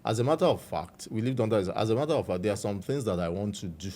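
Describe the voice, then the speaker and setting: Low-voiced Nigerian man telling his story. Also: animated. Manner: measured, warm storytelling with frequent "you know". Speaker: guest. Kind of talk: reflective interview storytelling.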